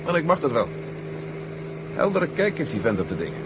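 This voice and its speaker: An actor playing a brisk, gruff army general with a clipped, commanding manner in a 1970 radio drama. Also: male voice, mid-range voice, sing-song, dull audio, lightly acted. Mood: dry. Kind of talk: 1970 Dutch science-fiction radio drama acting. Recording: loud engine noise behind the voice. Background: helicopter engine drone.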